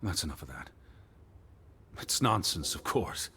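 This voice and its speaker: An actor playing an anxious, stuffy, learned 1920s gentleman in a video game, speaking formally and dismissively. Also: sits low, sing-song, a little slow, breathy edge, heavily acted. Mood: stern, dismissive. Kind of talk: dramatic video game dialogue.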